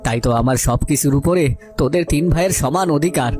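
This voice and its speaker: A man's voice: fairly high pitch, fast, darkish tone, natural voice. A man voicing a weary, wise old father in a cartoon folk tale, rueful and given to sighing moral remarks. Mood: patient. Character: old father, weary, wise, fond of his youngest son. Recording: music behind the voice.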